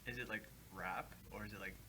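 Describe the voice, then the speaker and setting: A man's voice: fairly low pitch, steady tone, fairly quick, background noise. A teenage boy hosting a casual interview, asking loose questions with many hums and fillers. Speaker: host.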